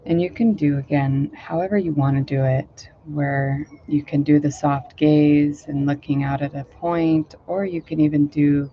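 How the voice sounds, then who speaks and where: A woman meditation teacher, a little hoarse today, talking casually and rambling with many fillers before leading a guided meditation outdoors. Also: sits low, fairly even intonation, measured pace, few pauses, lo-fi sound, a little hiss or hum. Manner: rambling, filler-heavy, gentle. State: slightly hoarse, low voice.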